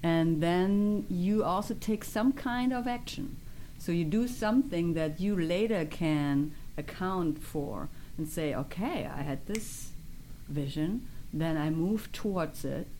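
A woman success coach calmly explaining her ideas in a studio interview. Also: on the low side, a little hiss or hum. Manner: calm, measured, explanatory.

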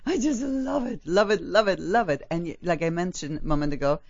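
A woman's voice: lowish voice, fairly quick, dry recording. A woman hosting a talk show interview, gushing and enthusiastic, with many fillers. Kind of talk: effusive interview chat. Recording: dull lo-fi, dry.

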